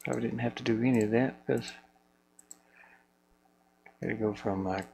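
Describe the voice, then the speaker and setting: A man's voice: mid-range voice, fairly even intonation, moderate pace, darkish tone. A man casually thinking aloud to himself in short fragments as he works at a computer. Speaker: game developer narrating own work. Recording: clearly noisy with hum and clicking.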